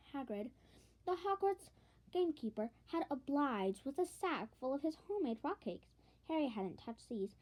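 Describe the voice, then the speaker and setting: A woman narrates a storybook in a high, soft-edged voice. Her reading is expressive and scripted, with an occasional stumble that she goes back over. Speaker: audiobook reader.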